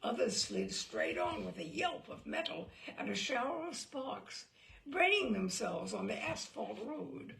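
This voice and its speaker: A woman storyteller with a heavily creaking, gravelly voice. She reads a literary tale at an unhurried pace, theatrical and deliberate, savoring the phrases as she goes. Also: medium pitch, wildly swooping pitch, fairly bright tone. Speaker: storyteller reading aloud on own show. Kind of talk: expressive reading of a literary memoir.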